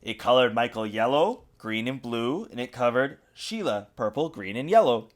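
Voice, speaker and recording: A father reading a picture book aloud to a young child, with expressive, dramatic emphasis. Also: medium pitch, normal speed. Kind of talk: animated children's storybook narration. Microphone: USB desktop mic.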